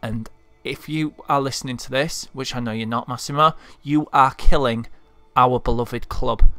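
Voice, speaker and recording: Man, Leeds accent, smooth clear voice, dry close sound, frustrated pleading fan rant. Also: mid-range voice, steady tone, moderate pace, pushed and bright, dry recording, soft background music. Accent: Leeds Yorkshire. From Leeds, England. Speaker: football fan vlogger. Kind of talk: impassioned monologue rant.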